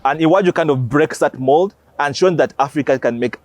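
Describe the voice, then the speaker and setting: A man's voice: a little high, a little fast, a little mellow, no room sound. A man giving emphatic, explanatory interview answers as an animation creator.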